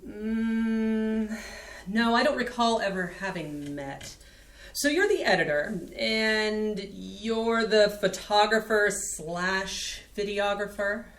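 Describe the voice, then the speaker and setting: A woman's voice: medium pitch, slow pace. A woman reading the part of a disdainful woman in a staged play reading, dry and pointed. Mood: cool. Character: cool, disdainful woman guarding her friend. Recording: roomy stage sound.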